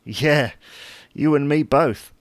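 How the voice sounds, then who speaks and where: A man's voice: on the high side, slow, no echo. A man playing a dry, long-suffering husband who placates his wife in a domestic audio drama. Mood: wry, tired. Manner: wry, dryly placating. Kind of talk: domestic comedy-drama dialogue.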